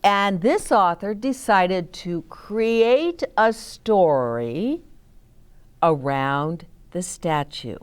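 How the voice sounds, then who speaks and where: A woman's voice: mid-range voice, clean tone. A woman hosting a story time for young children, reading aloud and chatting expressively, explaining things simply and asking the children questions.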